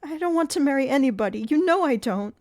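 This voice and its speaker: A woman's voice: expressive, fast, a little mellow. A woman doing a frightened pleading girl. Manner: high, pleading, breathless. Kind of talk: audiobook character voice.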